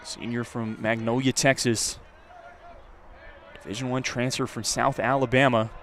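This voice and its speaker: A man's voice: mid-range voice, measured pace, a faint crowd. A man doing live play-by-play baseball commentary as a radio announcer. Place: outdoor ballpark. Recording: steady background noise at outdoor ballpark.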